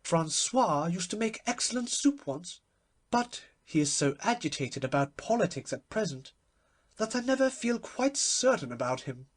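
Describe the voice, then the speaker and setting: A man's voice: high-pitched, big pitch swings, moderate pace, voice pushed up. A man voicing an imperious, chattering society hostess in an audiobook, emphatic and airily opinionated. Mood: airy, disappointed. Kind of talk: literary audiobook character voice.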